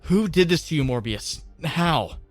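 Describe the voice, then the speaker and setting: Man as a youthful earnest hero-narrator, light voice, soft music under. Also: fairly high pitch, animated, unhurried. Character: young male web-swinging hero, married. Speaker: narrator and lead voice actor. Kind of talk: fan comic dub.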